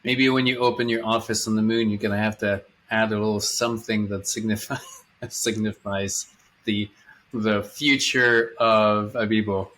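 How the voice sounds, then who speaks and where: A man's voice: fairly low pitch, flat delivery, normal speed, a bit bright, rough recording. A man hosting an informal podcast, welcoming his guest and asking questions, often restarting his sentences mid-question. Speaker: podcast host. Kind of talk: casual podcast interview.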